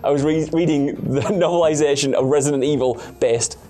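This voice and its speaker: A man's voice: on the high side, a little fast, mellow. A man presenting in a casual film chat, enthusiastic and self-mocking, rambling as he gives his opinions. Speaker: video game show presenter.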